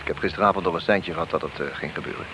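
A man playing an eager, urgent and excitable police detective on a stakeout in a Dutch radio drama. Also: low voice, racing, natural voice. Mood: eager, tense. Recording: noisy old radio play, hiss and traffic.

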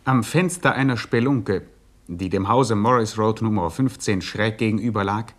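A man's voice: fairly low pitch, normal speed, fairly bright tone. A man narrating a satirical crime radio drama in a grave, mock-epic scripted style. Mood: dramatic.

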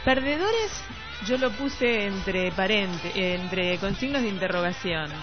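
A woman with a Rioplatense Argentine accent hosting a casual literary radio chat, haltingly searching for words and repeating phrases. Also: mid-range voice, lively intonation. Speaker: radio host. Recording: dull lo-fi radio, faint music behind.

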